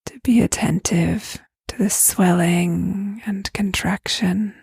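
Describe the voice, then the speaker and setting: A woman guiding a prayer meditation in a soft, breathy near-whisper, with gentle, unhurried, spacious phrasing. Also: mid-range voice, steady tone, no room sound, clean studio sound. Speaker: guided meditation leader, host. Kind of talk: guided prayer meditation, slow invitations.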